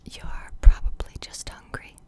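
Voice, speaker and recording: A woman's voice: unhurried, close to an everyday voice. A woman voicing a playful, self-assured young woman in a storybook reading, in a light, teasing whisper. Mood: breezy, teasing.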